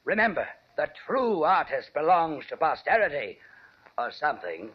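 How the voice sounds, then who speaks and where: An actor playing a rich, crusty, overbearing old uncle in 1940s radio drama, pompous, scornful and commanding. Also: male voice, on the high side, very animated, moderate pace, background noise. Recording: dull old radio recording.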